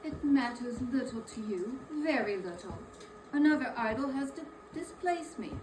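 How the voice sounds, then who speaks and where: Actress as dignified, sad young Victorian woman, gently reproachful, fairly high voice. Stage reading, distant mic with clear noise. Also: animated, normal speed, natural voice. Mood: hurt, reproachful. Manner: earnest, formal, gently reproachful. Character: sorrowful, dignified young woman releasing her fiance. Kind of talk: dramatic reading of a Victorian story. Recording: roomy, distant mic, noisy hall.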